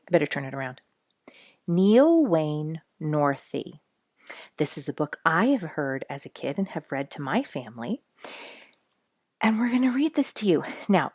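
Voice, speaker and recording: A woman with a low voice reads a children's book to young listeners. Her delivery is friendly and swings widely in pitch, with chatty storyteller phrasing and a dry, close sound. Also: a little mellow, no room sound. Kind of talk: read-aloud children's narration with chatty asides.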